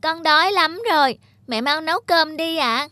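A performer playing a hungry, pleading little boy in an animated drama dub. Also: sits high, moderate pace, dry recording. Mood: plaintive.

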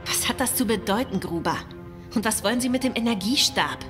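A woman's voice: on the high side, animated, fairly quick, music in the background, close to an everyday voice. Bold, cutting female ship's officer played by an actress, sci-fi audio drama, under score. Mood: brisk, alert. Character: bold, scornful female spaceship crew officer.

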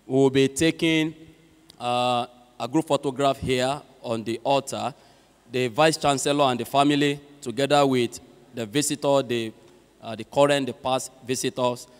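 A man's voice: medium pitch, clear, resonant tone. Young Nigerian man making church announcements. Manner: hesitant, many filler pauses. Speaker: master of ceremonies. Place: large university chapel hall. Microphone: handheld mic at a lectern. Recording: long hall reverb with faint music.

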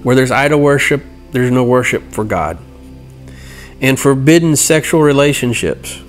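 A middle-aged man teaching from scripture in an earnest, halting way, reading passages and explaining them. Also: medium pitch, expressive, faint music behind. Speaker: Bible teacher. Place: indoor room by a large window. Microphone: lapel mic.

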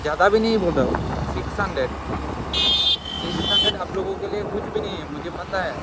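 A man's voice: high-pitched, very animated, a little fast. A man chatting casually to viewers as a motovlogger while riding, in a pleading, repetitive way. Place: outdoors on a moving two-wheeler. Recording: loud wind and traffic noise. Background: road and vehicle noise.

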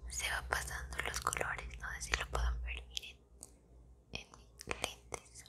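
A woman in her twenties with a Mexican accent speaks in a soft, breathy, intimate whisper, chatting casually in ASMR style close to the microphone. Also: extremely low pitch, monotone. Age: 20s. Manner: soft-spoken, intimate, unhurried. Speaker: ASMR video creator. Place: small indoor room at home.

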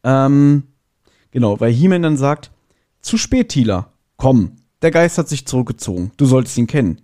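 A man's voice: medium pitch, big pitch swings, normal speed, warm tone. A man chatting casually as a podcast guest, reviewing an audio drama.

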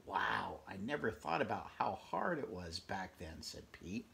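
A man in his fifties with a gravelly voice reads a picture book aloud, adding chatty, conversational asides for young listeners. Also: low-pitched, big pitch swings, measured pace, bright, forceful tone, a little hiss or hum. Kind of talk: children's read-aloud with casual asides. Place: small furnished bedroom, indoors.

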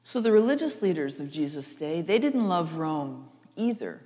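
A woman in her sixties, a pastor preaching a storytelling sermon with deliberate, emphatic repetition. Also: sits low, big pitch swings, dark tone. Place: large church sanctuary, seated at front. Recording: echoey church hall, long reverb.

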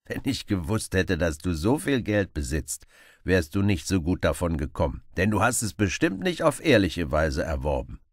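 A man reads aloud as a bold outlaw hero of a storybook, cheerful and teasing. His delivery is lively in pitch, recorded dry and close. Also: moderate pace, dry recording. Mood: amused.

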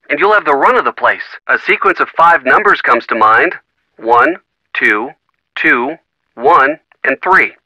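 A man's voice: medium pitch, pushed and bright, no room sound, rough recording. An actor voicing a helpful, wry young man giving hints in a video game.

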